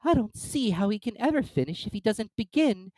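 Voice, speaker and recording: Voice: medium pitch, animated, fairly quick, mellow, dry recording, voice pushed up, close to an everyday voice. A reader voicing Alice, a polite, curious young girl who questions primly. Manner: prim, slightly indignant questioning.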